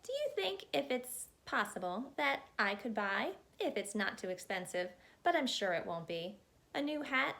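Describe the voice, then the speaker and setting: A woman voicing an excitable storybook chatterbox man who rambles on breathlessly and keeps interrupting himself. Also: a little high, normal speed, creaky, exaggerated voice. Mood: chatty, rambling.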